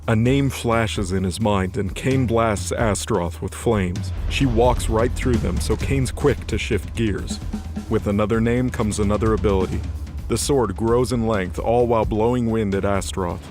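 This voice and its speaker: A man's voice: on the low side, moderate pace, over music. A man reading scripted, dramatic narration as the narrator of a fantasy audio drama.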